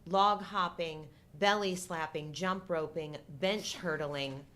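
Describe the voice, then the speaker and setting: A middle-aged woman with a fairly low voice and a slow, unhurried pace. She talks casually as a live-show co-host, joking with a deadpan delivery. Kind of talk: casual humorous movie-discussion chat.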